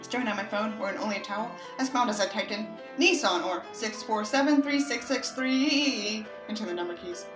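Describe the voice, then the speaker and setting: A woman's voice: medium pitch, brisk, few pauses, echoey room, music in the background, low-quality recording. A woman narrating a book expressively, with sardonic, exasperated asides as a commentator on what she reads. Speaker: audiobook reader and commentator.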